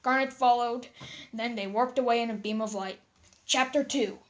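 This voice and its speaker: A woman reading a fan fiction story aloud in an animated storytelling style. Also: fairly high pitch, normal speed.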